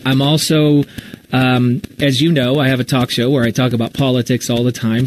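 An American man chatting casually and informally as he hosts a live question session, with a self-deprecating manner. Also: mid-range voice. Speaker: podcast writer and talk show host. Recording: noisy live stream audio.

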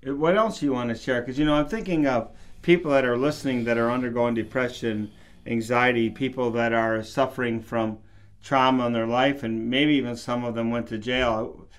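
A man's voice: mid-range voice, steady tone, moderate pace. A male pastor hosting a radio program, asking his guest encouraging, guiding questions. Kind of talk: radio interview.

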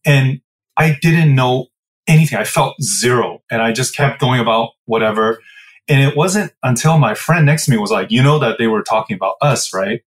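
A man's voice: medium pitch, moderate pace. A man in his forties from the Midwest, telling his story in an interview with a reflective, meandering manner full of fillers. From Midwestern United States. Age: 40s. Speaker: guest, business co-founder. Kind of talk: casual interview answers.

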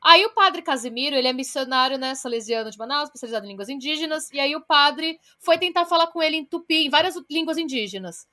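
A woman's voice: high-pitched, lively intonation, quick pace, hardly any pauses, sharp tone. A Brazilian woman co-hosting a podcast, retelling a story from her research in a blunt, casual way with some swearing. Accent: Brazilian Portuguese. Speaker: podcast co-host.

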